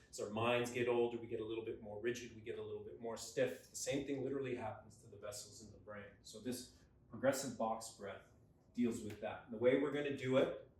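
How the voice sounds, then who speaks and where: Coach in a roomy, distant-mic room. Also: male voice, medium pitch, a little mellow. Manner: emphatic, stresses key words, conversational. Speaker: neuroperformance coach. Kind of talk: explanatory video lesson.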